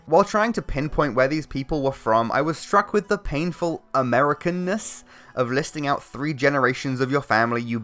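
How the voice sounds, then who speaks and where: A British man reading a scripted commentary with a critical, measured delivery. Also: mid-range voice, fairly bright tone. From Britain. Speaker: video essayist.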